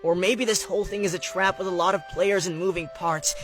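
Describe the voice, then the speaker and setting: High-pitched wary cartoon adventurer. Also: male voice, steady tone, fairly quick, a bit bright, faint music behind. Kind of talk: animated film voice acting.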